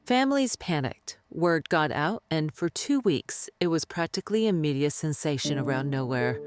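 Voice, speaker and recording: An actress playing a smug, dismissive doctor, clinical and condescending as a guest in a radio drama. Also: female voice, low-pitched, expressive, moderate pace, soft background music. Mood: lecturing. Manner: clinical, self-assured, condescending. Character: smug, dismissive female doctor and skeptic author. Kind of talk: radio interview acting.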